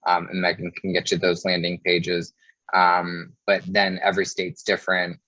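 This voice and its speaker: A man explaining things casually as an interview guest, with a halting delivery, on a muffled, roomy recording. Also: deep voice, completely flat delivery, pushed and bright.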